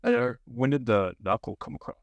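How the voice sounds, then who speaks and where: A man's voice: mid-range voice, animated, moderate pace, dry recording, highs cut off. A man co-hosting a sports podcast, putting long, loosely phrased questions in a conversational way. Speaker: podcast co-host and interviewer.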